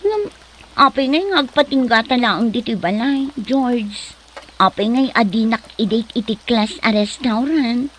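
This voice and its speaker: A woman's voice: a little high, expressive, measured pace, poor audio. A woman playing a boastful young daughter in an Ilocano comedy drama, protesting and whining with an Ilocano accent. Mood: complaining. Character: boastful, ambitious young daughter defending her boyfriend.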